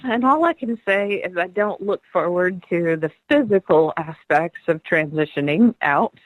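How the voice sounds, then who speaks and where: A woman's voice: fairly low pitch, lively intonation, no echo, low-quality recording. Earnest, laughing middle-aged woman guest. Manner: earnest, laughs often, rushes through stories.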